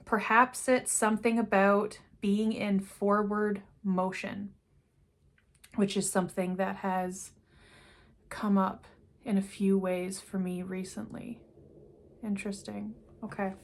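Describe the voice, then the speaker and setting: A woman talks through an intuitive reading in an unscripted, musing way, her thoughts wandering and her voice sinking into quiet asides. She speaks very slowly, in a mid-range voice that is neither high nor low. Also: flat delivery. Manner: slow, meandering, trailing off into quiet asides. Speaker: intuitive card reader. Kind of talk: unscripted spiritual reading to camera.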